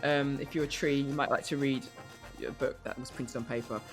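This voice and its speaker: A woman riffing casually as a comedy podcast guest, thinking aloud in a halting way. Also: rumbling low, steady tone, soft background music.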